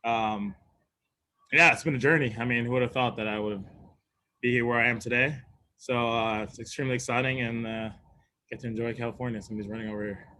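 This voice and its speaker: A man's voice: medium pitch, steady tone, fast, bright, forceful tone. A young man from Sweden, a college football player, answering press questions in an easygoing way with frequent laughs and fillers. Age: young adult.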